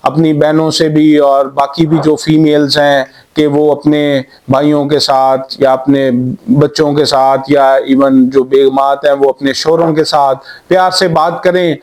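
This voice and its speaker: Friendly doctor giving health advice. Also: male voice, fairly high pitch, flat delivery, darkish tone, poor audio. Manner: friendly advice, speaks straight to viewers; pauses with fillers while searching for words. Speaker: doctor hosting health videos. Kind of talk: informal health talk to camera.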